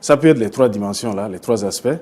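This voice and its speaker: Mellow, low-voiced Beninese man explaining haltingly. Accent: Beninese French. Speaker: expert guest. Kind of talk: explanatory interview answers. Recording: clear background noise.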